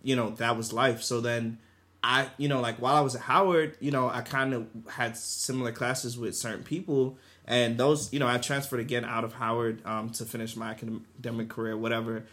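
Man, twenties, medium pitch, casual podcast talk, halting conversational phrasing, slangy. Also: level tone, fairly bright tone. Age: 20s. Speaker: podcast panelist. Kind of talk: casual chat, personal anecdote.

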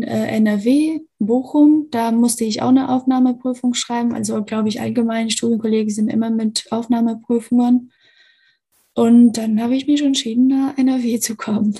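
A woman's voice: a little high, warm tone, slightly rough. Hesitant young woman. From Albania. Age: 20s. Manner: hesitant, many fillers and restarts. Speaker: guest, computer science student. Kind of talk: casual interview answers.

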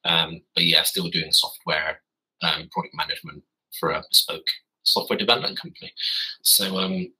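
A man's voice: sits low, steady tone, normal speed, bright, forceful tone, breathy edge, rough recording. A man in his thirties, a podcast guest telling stories casually and correcting himself as he goes, speaking from his garden office. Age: 30s. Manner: rambling, self-correcting, wry. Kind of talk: casual storytelling.

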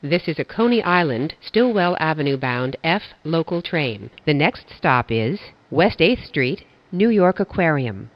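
Robotic-sounding train announcer, flat steady delivery, kinda dull lo-fi audio. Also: mid-range voice, no echo. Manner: flat, measured, evenly paced phrasing. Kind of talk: automated transit announcements.

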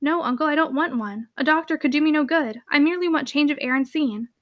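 A woman voicing a meek, unhappy young niece in a storybook, polite, earnest and quietly persistent. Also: high voice, fast talker, muffled recording, natural voice. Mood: pleading. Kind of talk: storybook character dialogue.

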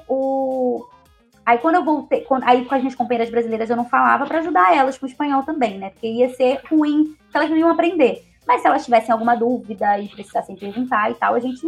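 A Brazilian woman in her twenties, a guest telling her own story in a relaxed, chatty way. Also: fairly high pitch, vocal fry. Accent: Brazilian Portuguese. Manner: chatty, leans on tag questions. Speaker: interview guest. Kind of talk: casual personal storytelling.

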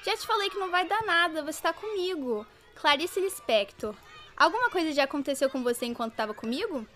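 Woman playing a cocky young Brazilian girl, high swooping voice, bright tone, radio drama, insistent, faint noise behind. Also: animated, fairly quick. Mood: cocky, persuading. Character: bold, mischievous young girl, Clarice's companion.

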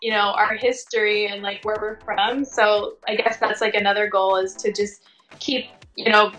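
A woman's voice: medium pitch, flat delivery, normal speed, fairly bright tone, faint music behind, poor audio. A woman chatting casually as an interview guest, informal and sprinkled with "like" and "you know". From Tongan American. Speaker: interview guest, working fashion and commercial model.

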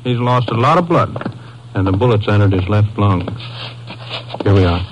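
An actor playing a sober hospital doctor in a 1950s radio drama, speaking in a grave, clinical manner. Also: male voice, fairly low pitch, expressive, moderate pace, lightly acted. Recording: dull, noisy old-time radio recording.

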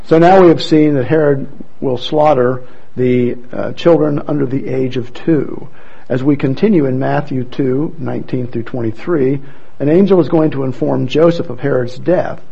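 A man introducing scripture readers at a church program, speaking in a relaxed way with light asides, on a muffled recording. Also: mid-range voice, normal speed, creaky, husky. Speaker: worship leader introducing readers. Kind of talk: church service emcee.

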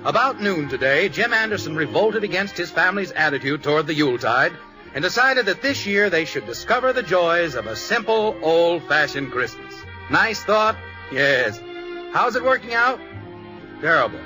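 A man's voice: fairly high pitch, sing-song, moderate pace, over music, low-quality recording. A man with an American accent giving wry, conversational scripted narration for a vintage radio sitcom. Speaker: narrator-announcer.

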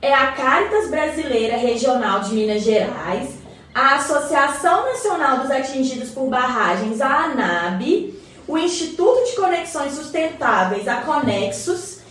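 Woman acting as knowledgeable community explainer, Brazilian accent, colloquial radio drama, high voice, heavy room echo. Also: animated, measured pace, a bit bright. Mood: informative. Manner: didactic, colloquial. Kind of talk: scripted educational radio play. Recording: roomy echo, distant mic, faint noise.

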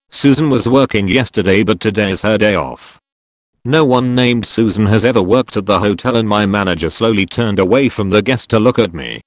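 A man narrating posts in an even, steady storytelling manner. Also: lowish voice, no room sound. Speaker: narrator reading online posts. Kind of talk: scripted narration.

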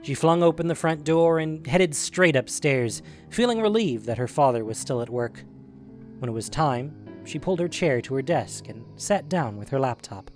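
A man's voice: on the high side, expressive, moderate pace, soft background music. A man narrating an audio drama, reading scripted prose in a dramatic storytelling style.

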